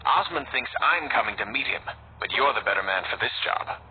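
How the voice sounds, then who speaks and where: Supporting game role: actor as shrewd male resistance chief, deliberate and conspiratorial, medium pitch, narrow phone-like audio, slight hiss. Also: lively intonation, close to an everyday voice. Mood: instructing. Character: shrewd male resistance leader briefing an assassin. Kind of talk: video game cutscene acting.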